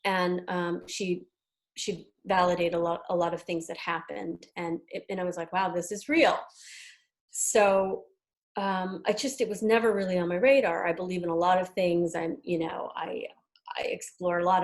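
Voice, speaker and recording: A woman from New York with an American accent, talking casually as an interview guest, chatty with hesitant pauses. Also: fairly low pitch, flat delivery. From New York, United States. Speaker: interview guest, tarot deck creator and artist.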